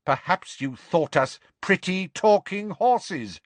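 Man voicing a furious, haughty centaur, raised pitch. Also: expressive, slow, no room sound, full character voice. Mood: proud fury. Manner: bellowing, haughty. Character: proud, enraged grey male centaur, hard and contemptuous.

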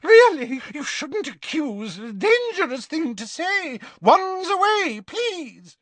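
A man's voice: very high-pitched, very animated, slow pace, fairly bright tone, no room sound, pitched above the performer's own voice, heavily acted. A man voicing a fussy, flustered older woman who runs a robe shop, pleading anxiously. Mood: alarmed.

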